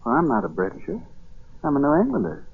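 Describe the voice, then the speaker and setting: An earnest New England farmer in an old radio play. He is folksy and hesitant, with a rough-edged, lively voice on a narrow, muffled vintage recording. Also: mid-range voice, fast, full character voice. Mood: earnest resolve. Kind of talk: 1940s radio acting.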